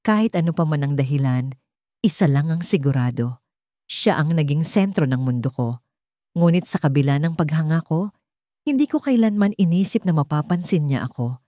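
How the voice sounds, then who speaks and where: A woman narrates a first-person love story in a low, soft-edged voice. The tone is dark and mellow, and the recording is close and dry, as scripted storytelling. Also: no echo.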